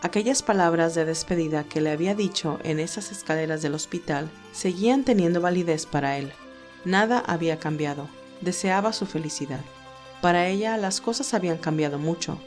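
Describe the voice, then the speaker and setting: Woman narrating fiction over soft music. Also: on the low side.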